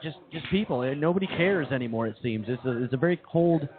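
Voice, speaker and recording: A man's voice: a little high, lively intonation, fast talker. A man co-hosting live talk radio, chatting casually and emphatically with repetition, on a phone-like recording with a crowd behind. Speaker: radio show co-host. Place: live broadcast from a busy brewery. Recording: phone-like sound, loud bar crowd behind.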